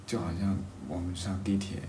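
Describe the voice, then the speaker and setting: Low-voiced man thinking aloud in a roomy space. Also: flat delivery, dark tone. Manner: halting, rephrases thoughts as he goes. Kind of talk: casual reflective conversation. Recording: roomy echo, faint background noise.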